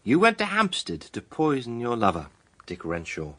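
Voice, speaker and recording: A man's voice: mid-range voice, wildly swooping pitch, normal speed, pushed and bright, exaggerated voice. An actor playing a self-assured, stern man laying out a crime, theatrical and accusatory in a radio drama style. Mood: knowing, controlled.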